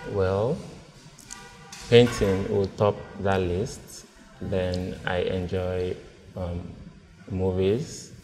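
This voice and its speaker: A Nigerian man in his thirties on a blind date, talking in a hesitant, halting way with many restarts. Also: deep voice, flat delivery, a little mellow, in a large hall, low-quality recording. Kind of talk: casual conversation. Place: indoor restaurant with large windows. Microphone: lapel mic.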